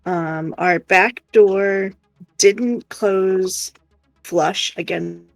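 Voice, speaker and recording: A man's voice: high-pitched, very slow, gravelly, dry recording, faint music behind. A man co-hosting a livestream in casual, chatty style. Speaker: livestream co-host. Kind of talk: casual chat.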